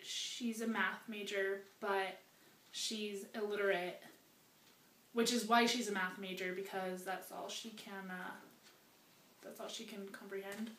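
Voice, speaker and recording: A woman in her twenties from Colorado, making deadpan jokes about her roommate. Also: medium pitch, unhurried. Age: 20s. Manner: deadpan joking. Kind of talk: casual chat to camera. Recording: echoey room, faint background noise.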